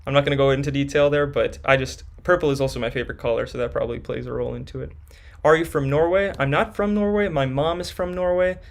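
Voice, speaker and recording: A man's voice: mid-range voice, steady tone, fast, creaky, hardly any pauses. Casual man hosting a live stream. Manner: reads chat questions aloud and answers casually.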